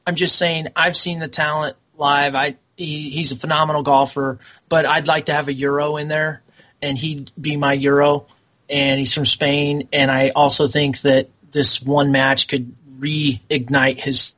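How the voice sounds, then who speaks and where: A man's voice: on the high side, level tone, a little slow, bright, forceful tone, muffled recording. A man chatting casually as a guest, rambling and enthusiastic. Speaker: guest, military veteran. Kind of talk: casual podcast chat.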